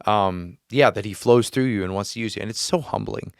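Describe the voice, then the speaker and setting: A man's voice: on the low side, fairly quick, clean tone, dry recording, clean studio sound. A man hosting a faith podcast, telling a story earnestly with frequent restarts and laughs. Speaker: podcast host. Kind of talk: casual faith chat. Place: podcast studio.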